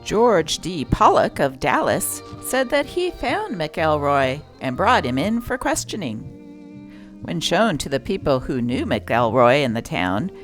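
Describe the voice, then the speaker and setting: A woman host reading vintage newspaper stories aloud as scripted narration, with deliberate, expressive phrasing. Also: low voice, faint music behind. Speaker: channel host and newspaper reader.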